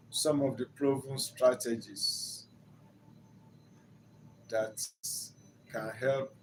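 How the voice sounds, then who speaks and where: A man's voice: mid-range voice, darkish tone, low-quality recording. An older Nigerian man speaks as a counselor, with Yoruba as his first language. His delivery is earnest and didactic, and he builds steadily toward emphatic points. His voice sits in an ordinary middle register, with a faint hiss underneath. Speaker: relationship counselor. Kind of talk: motivational teaching talk.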